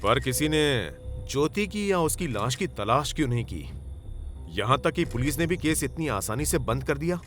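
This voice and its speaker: A man's voice: medium pitch, lively intonation, normal speed, a bit bright, close to an everyday voice. An actor playing a thoughtful, probing male police inspector in a comic drama.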